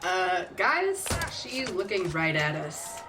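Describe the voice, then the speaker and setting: A woman's voice: fairly low pitch, big pitch swings, takes it slowly. A woman playing a feisty, hot-tempered, sardonic ally in an audio drama, blunt and emphatic in her speech. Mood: startled excitement. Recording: distant from the mic, faint noise.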